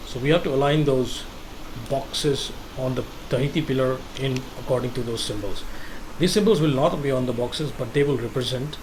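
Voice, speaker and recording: A man giving casual gameplay commentary, thinking aloud with halting restarts. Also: medium pitch, noisy background.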